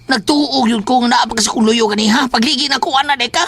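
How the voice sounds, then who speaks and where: A man playing a frantic, whiny, comic coward in a horror audio drama, excitable and full of exclamations. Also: very high voice, fast, vocal fry, full character voice. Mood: grumbling. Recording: noisy amateur audio drama recording.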